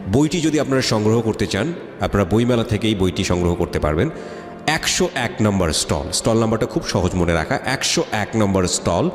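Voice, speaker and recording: Brisk, echoing male Bangladeshi radio host. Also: on the low side. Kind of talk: conversational radio interviewing and addressing listeners. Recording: echoey hall-like reverb, faint music.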